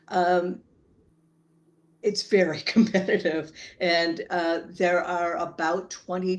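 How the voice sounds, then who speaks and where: Woman, lowish voice, casual introduction, chatty, video call. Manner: chatty, loses her train of thought. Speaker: club member introducing a speaker.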